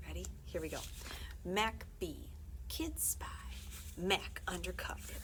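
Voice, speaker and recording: A woman's voice: on the low side, big pitch swings, slow pace, a bit bright. A woman reading a children's book aloud expressively for library story time, with asides to her listeners. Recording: faint background noise.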